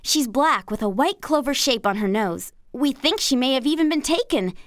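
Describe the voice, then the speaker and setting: A woman with an American accent playing a cheerful, helpful young horse rider and amateur sleuth in a game, upbeat and crisply spoken. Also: high voice, animated, moderate pace, no echo, studio quality. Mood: bright, determined. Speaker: voice actor. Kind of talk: scripted game character dialogue.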